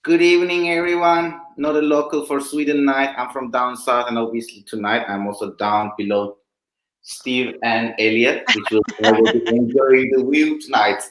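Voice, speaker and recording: A man's voice: mid-range voice, fairly even intonation, moderate pace, a bit bright. Man from Cyprus, casual online panel guest, mid-range voice, strongly echoey room. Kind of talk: casual chat on a video call. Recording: echoey room on video call.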